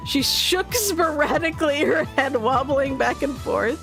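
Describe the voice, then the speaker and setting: Female streamer, high voice, slow storytelling pace, expressive visual novel narration over faint music. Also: gravelly. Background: soft game music.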